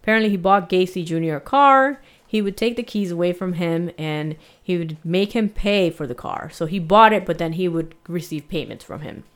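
A woman with a slight Spanish-tinged accent talks through a true crime story in a casual, chatty way. She uses lots of fillers and small laughs. Her voice sits a bit low for a woman. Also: normal speed, hardly any pauses. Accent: Spanish-influenced. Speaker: podcast co-host telling the story.

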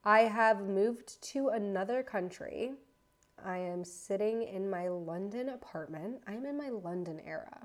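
A woman's voice: medium pitch, unhurried. Nervous, chatty woman in her thirties. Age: 30s. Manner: chatty and rambling, with restarts and self-corrections. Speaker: podcast host. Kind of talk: casual solo podcast chat. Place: her apartment, indoors.

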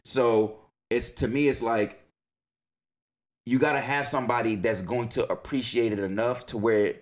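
A man co-hosting a podcast in casual chat, with a loud, booming voice and an emphatic, long-winded way of talking. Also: fairly even intonation, normal speed. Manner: emphatic, talks at length. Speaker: podcast co-host.

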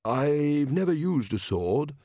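A meek, weakened king, acted by a male narrator, croaky and hesitant. The timid words come haltingly, pitched a little up, in a dry storybook recording. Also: big pitch swings, warm tone, no room sound, full character voice. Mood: weak, uncertain. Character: weak, meek, hesitant mild-mannered king. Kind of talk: audiobook character voice.

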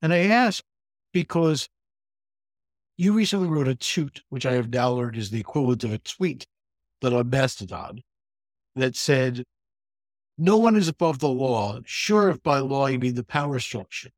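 A man hosting an interview podcast, putting questions deliberately in short, measured phrases. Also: medium pitch, expressive, dry recording, clean studio sound.

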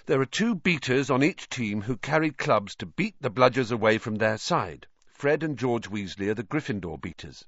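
A man's voice: mid-range voice, normal speed, hardly any pauses, slightly rough, no room sound, muffled recording, voice pushed up, exaggerated voice. Tired, put-upon schoolboy for an audiobook, read by a man: resigned delivery, patient but exasperated, close dry recording. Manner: flat, resigned, patiently explaining. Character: weary, reluctant, exasperated schoolboy hero.

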